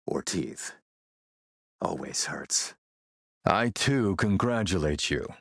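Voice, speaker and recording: A man playing a suave video game warlord, coolly polite and mocking, with a measured, sardonic menace. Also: low-pitched, expressive, gravelly, breathy edge, no room sound, clean studio sound, exaggerated voice. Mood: mocking, controlled. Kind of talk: video game villain acting.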